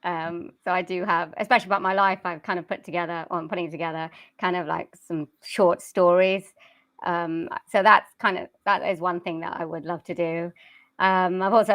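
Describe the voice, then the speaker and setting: A woman talks as an interview guest, chatty and quick to giggle. Her voice is recorded very dry and close. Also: on the low side, fairly even intonation, no room sound. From South Wales. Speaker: guest singer and voice-over artist. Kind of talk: casual interview.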